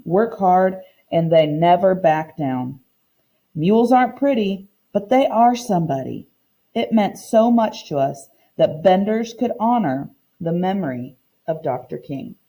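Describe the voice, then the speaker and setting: A woman reading aloud the part of a proud, earnest older woman who recounts her community's history in a storybook, in measured phrases. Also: medium pitch, dark tone.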